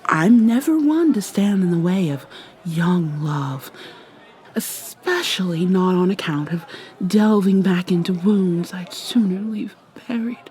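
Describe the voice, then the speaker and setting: A woman voicing an ancient vampire mermaid in an ASMR roleplay, speaking low and soft in a teasing half-whisper. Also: unhurried, natural voice.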